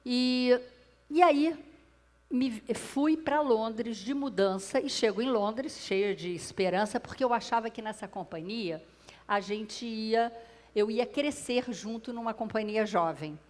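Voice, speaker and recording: A woman's voice: fairly high pitch, measured pace, darkish tone. A Brazilian woman with a Brazilian Portuguese accent, telling a personal story to a live audience on stage through a clip-on mic, addressing the listeners directly. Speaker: speaker at a public talk. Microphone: clip-on microphone.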